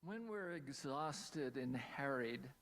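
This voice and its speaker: A man's voice: mid-range voice, lively intonation, takes it slowly, fairly bright tone. A man from Pennsylvania giving a talk over a video call as a storyteller, lingering on single words, with playful asides. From Pennsylvania, USA.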